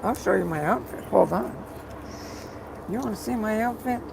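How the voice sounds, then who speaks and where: A live streamer with a rough, gravelly voice, chatting casually and rambling while reading viewers' comments aloud and repeating words. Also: mid-range voice, normal speed, background noise.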